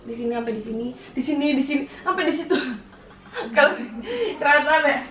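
Young girl vlogging a house tour, high voice, noisy echoey room. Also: expressive, normal speed, fairly bright tone. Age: young adult. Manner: informal, playful, moving around as she talks. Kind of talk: casual house-tour vlog chat. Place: indoors, rooms of a house. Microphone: handheld camera mic at some distance. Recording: echoey rooms, distant camera mic, noisy.

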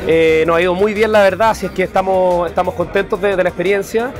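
Man, high voice, halting speech, music underneath. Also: fairly even intonation. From Chile. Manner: hesitant, many restarts. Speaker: executive producer. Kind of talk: interview to camera. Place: rooftop terrace over a city at dusk.

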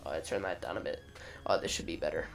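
A young man streaming a game, chatting casually in slang with a croaky voice. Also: a bass voice, steady tone, quick pace. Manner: laid-back slangy chatter, swearing. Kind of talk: casual gameplay commentary. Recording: loud game music behind voice. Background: game music.

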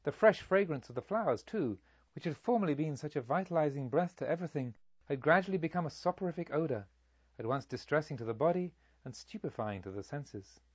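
A man reading literary narration as an audiobook, in a solemn, measured storytelling manner. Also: a little high, animated, slightly rough, no room sound, lo-fi sound. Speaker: audiobook reader.

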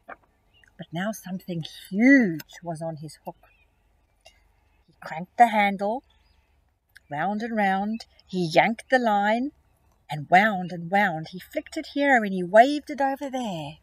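A middle-aged woman teacher reads a picture book aloud to young children, with a lively, sing-song rise and fall. Her voice is soft and breathy, recorded close up on a phone outdoors. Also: mid-range voice, slow, pauses often. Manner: expressive, storytelling. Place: outdoors in a grassy field.

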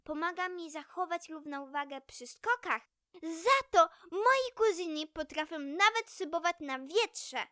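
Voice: very high-pitched, lively intonation, unhurried, sharp tone, no echo, clean studio sound, full character voice. A young performer voicing a small, wary, proud female red squirrel, pert and childlike, in a children's story. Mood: impressed, proud.

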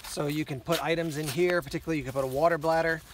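A man giving a casual hands-on gear walkthrough outdoors, pausing with ums and correcting himself as he talks. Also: fairly high pitch, fairly even intonation, background noise. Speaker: gear reviewer. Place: outdoors on a rock among plants.

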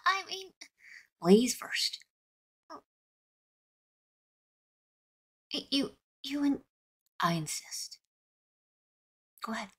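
Woman acting a husky, hesitant cartoon teen. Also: sits high, sing-song, slow pace, a bit bright, exaggerated voice. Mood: nervous. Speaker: amateur voice performer. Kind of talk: fan-made cartoon audio drama acting.

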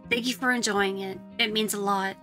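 A woman's voice: mid-range voice, steady tone, normal speed, pushed and bright, soft background music. A woman narrator reading out a written note as a prepared statement. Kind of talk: read statement.

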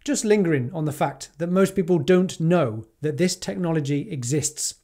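Upbeat British man, high-pitched. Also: moderate pace, dark tone. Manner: enthusiastic, rhetorical questions, wry asides. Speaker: tech YouTuber. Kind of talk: scripted commentary to camera. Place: home studio with neon sign.

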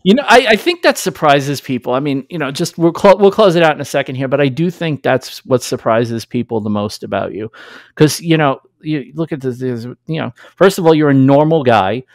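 A man's voice: medium pitch, lively intonation, a little fast, no echo. A man in his thirties with a New York accent, hosting a casual podcast interview from a small home studio on a large studio mic, chatty and enthusiastic. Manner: enthusiastic, chatty, laughs often. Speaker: podcast host. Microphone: large studio mic with headphones.

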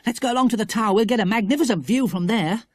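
Reader putting on a bold boy explorer, enthusiastic, raised pitch, breathy, storybook. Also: quick pace, no echo, close to an everyday voice. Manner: enthusiastic, commanding.

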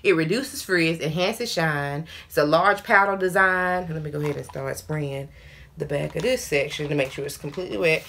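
A woman's voice: deep voice, moderate pace, fairly bright tone. A woman in her thirties casually reviewing a hair tool while styling her hair in a small bathroom, chatting to viewers as y'all with playful asides. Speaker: hair vlogger. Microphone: camera in front, hands busy. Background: hair dryer running.

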